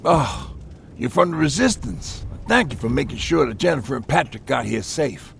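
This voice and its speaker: A man's voice: lively intonation, moderate pace, vocal fry, a bit bright. An actor playing a hesitant, conscientious male survivor in a video game cutscene. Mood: uneasy, earnest. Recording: faint rumbling noise behind.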